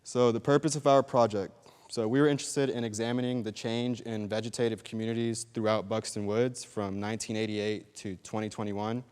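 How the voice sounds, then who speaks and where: Young man, student presenter, low flat clear voice, long reverb in a hall. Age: young adult. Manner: steady, slightly halting with fillers. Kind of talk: research presentation. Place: lecture hall.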